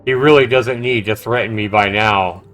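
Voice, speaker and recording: A man's voice: fairly low pitch, fairly even intonation, normal speed, fairly bright tone. A man livestreaming a visual novel, reading the text aloud dramatically and reacting expressively as he comments. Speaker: game streamer. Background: game music.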